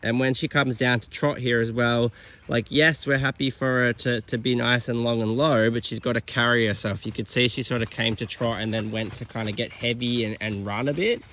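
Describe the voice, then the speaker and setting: A man's voice: mid-range voice, brisk, slight background noise. A man filming and casually commenting on a horse training session, with lots of fillers. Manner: relaxed, filler-heavy explaining.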